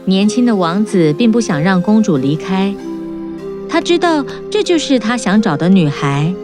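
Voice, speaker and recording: A woman narrating a children's fairy-tale cartoon in a calm, even storytelling manner. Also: medium pitch, normal speed, over music.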